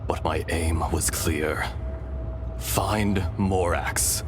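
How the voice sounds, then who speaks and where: An actor playing a weary ancient dragon spirit in human form, speaking in a measured, solemn way over game music. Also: male voice, sits low, steady tone. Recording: game audio with loud music behind.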